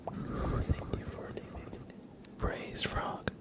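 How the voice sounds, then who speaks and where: A man hosting an ASMR livestream, chatting casually in a hushed, breathy whisper with an unhurried, relaxed manner. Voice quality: breathy whisper with low creaky undertone.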